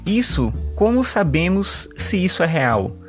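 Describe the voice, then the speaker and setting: A man's voice: high-pitched, big pitch swings, a little slow. A man playing a talkative male philosopher and sociology teacher in an audio drama, earnest and fond of quoting thinkers, with faint music underneath. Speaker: patient.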